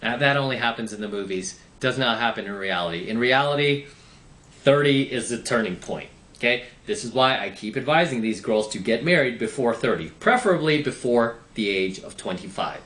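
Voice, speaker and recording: A man's voice: medium pitch, a little fast, fairly bright tone, echoey room. A man lecturing on dating in an opinionated advice monologue, sarcastic and swearing now and then. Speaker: dating commentator.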